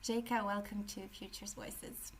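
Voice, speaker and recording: A woman's voice: mid-range voice, little pitch movement, a little slow, slight background noise. A woman hosting a podcast, reading a scripted guest introduction with occasional fillers. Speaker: podcast host.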